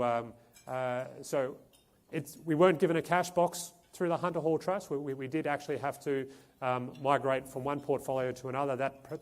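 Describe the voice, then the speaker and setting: A man giving a conference presentation as a fund manager, talking in a halting way with frequent restarts and repeated words. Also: medium pitch. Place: conference stage.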